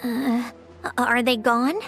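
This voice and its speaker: A woman playing a proud, brisk, guarded young woman in an anime game. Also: a little high, steady tone, music in the background. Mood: flustered.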